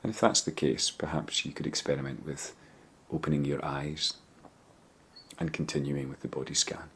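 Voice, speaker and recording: Very deep-voiced man guiding meditation. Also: level tone, slight background noise. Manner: gentle, measured, unhurried instruction with pauses. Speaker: meditation guide. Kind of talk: guided body scan meditation.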